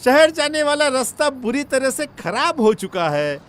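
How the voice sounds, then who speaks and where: A man's voice: very high voice, very animated, measured pace, a bit bright, heavily acted. An actor playing an ominous, warning village man in a cartoon horror story, emphatic and foreboding. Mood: cautionary. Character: ominous, warning villager who fears a haunted bridge. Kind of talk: animated horror story acting.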